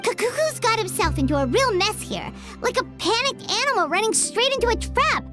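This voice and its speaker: Squeaky, very high childlike fairy sidekick. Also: female voice, very animated, fairly quick, over music. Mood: exasperated, urgent. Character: tiny excitable floating fairy companion, childlike and chatty. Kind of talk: video game cutscene voice acting.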